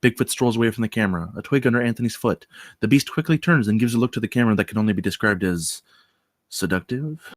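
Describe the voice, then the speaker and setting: A man's voice: lowish voice, quick pace, no echo, studio quality. A man reading out stage directions as the narrator of a screenplay table read.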